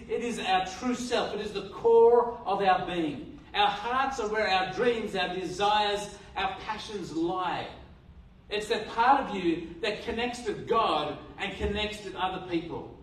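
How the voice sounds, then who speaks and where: Australian pastor preaching in an echoey hall. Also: male voice, high-pitched, slight background noise. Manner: earnest, repetitive restarts, rhetorical questions. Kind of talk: sermon. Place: church hall. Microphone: handheld mic. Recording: roomy hall from a distance.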